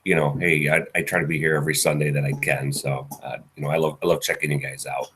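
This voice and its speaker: A man's voice: a bass voice, level tone, quick pace, a bit bright, slight background noise. An older man with a cold and a nasal voice, chatting easygoing as a guest on a live stream over a video call. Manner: easygoing, repeats himself. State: congested with a cold. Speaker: guest reseller. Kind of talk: casual live-stream chat.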